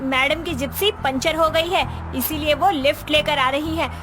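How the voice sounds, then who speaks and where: A woman's voice: high voice, fairly even intonation, moderate pace, noisy background, lightly acted. A woman voicing a brash, self-assured young policewoman wife in a cartoon story. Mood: impatient, bossy. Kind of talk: Hindi cartoon story voice acting.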